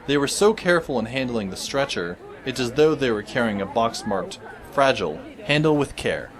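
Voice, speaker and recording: A man playing a sardonic, world-weary high school boy who narrates an audio drama in a wry, dry manner. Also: big pitch swings, moderate pace, crowd noise, close to an everyday voice. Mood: dry, musing. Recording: music behind the voice.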